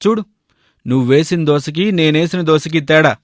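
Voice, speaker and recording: A man's voice: a little high, normal speed, no echo, clean studio sound, close to an everyday voice. A man voicing a fussy, food-loving bachelor shopkeeper in a story, bossy and given to complaining.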